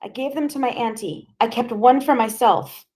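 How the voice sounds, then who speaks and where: A woman's voice: normal speed, darkish tone, close to an everyday voice. A woman voicing a terrified street boy begging and sobbing through a police interrogation. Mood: frantic. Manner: desperate, shrill, sobbing.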